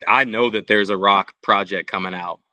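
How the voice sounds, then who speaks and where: A man's voice: fairly low pitch, fairly even intonation, normal speed, bright, forceful tone, no echo, highs cut off. A man from Puerto Rico, a musician, chatting casually and earnestly as a podcast guest. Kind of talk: casual interview chat.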